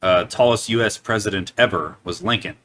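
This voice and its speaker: Low, bright-toned man laughing through crude banter. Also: a little fast, slight background noise. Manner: laughs often, swears casually. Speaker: podcast co-host.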